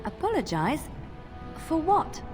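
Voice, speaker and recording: An actress playing a gentle, devout young religious sister in a fantasy game, sweet and earnest. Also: female voice, high voice, sing-song, slow pace, heavily acted. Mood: playful. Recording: game music behind voice.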